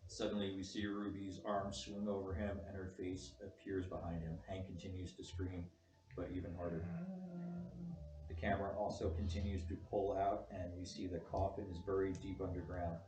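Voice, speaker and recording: A man's voice: low-pitched, flat delivery, normal speed. A man reading out scripted stage directions as the director at a film table read. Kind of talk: scripted narration of screen directions. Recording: distant mic, echoey room, faint noise.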